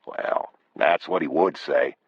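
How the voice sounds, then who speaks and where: Man acting stubborn Southern man on the run, deep, husky, terse, guarded, muffled audio. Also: slow, no room sound, exaggerated voice. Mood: weary reassurance. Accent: American South.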